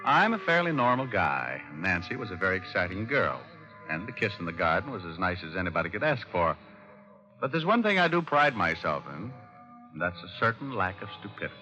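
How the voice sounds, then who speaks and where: A dull old radio recording with music behind it. A low, hard-edged American private detective talks with wry, offhand toughness in classic radio drama style. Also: male voice, sing-song, fairly quick, sharp tone, exaggerated voice. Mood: coolly narrating. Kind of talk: 1940s-50s radio drama acting and first-person narration.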